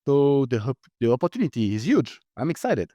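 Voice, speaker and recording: A man's voice: mid-range voice, very animated, brisk, warm tone, no echo. A middle-aged man with a Swiss accent, speaking as a finance executive guest in a business interview, hesitant with many fillers and restarts. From Switzerland. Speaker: company CFO, guest.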